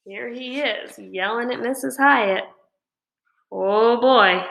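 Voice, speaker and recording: A woman reading a children's novel aloud like a teacher, with deliberate, dramatic emphasis. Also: a little high, vocal fry, a bit bright, reverberant. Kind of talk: expressive children's storybook narration.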